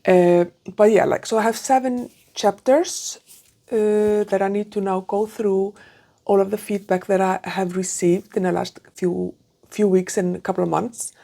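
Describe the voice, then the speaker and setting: Mellow, halting woman. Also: mid-range voice, steady tone, gravelly. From Iceland. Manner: halting, self-correcting, thinking aloud. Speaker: PhD student vlogger. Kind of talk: casual chat to camera. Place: small room with curtains, indoors. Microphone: clip-on lapel mic.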